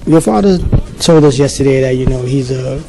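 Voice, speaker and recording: A man's voice: mid-range voice, normal speed, creaky, dark tone, soft background music. A man asking sports interview questions in a friendly, conversational way into a handheld mic. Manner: friendly, conversational, hesitant fillers.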